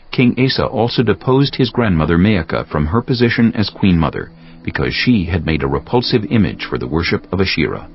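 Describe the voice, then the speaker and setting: A man narrating scripture as a scripted audiobook, in a solemn, measured storytelling manner. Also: low voice, slight background noise. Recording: dull sound with music bed.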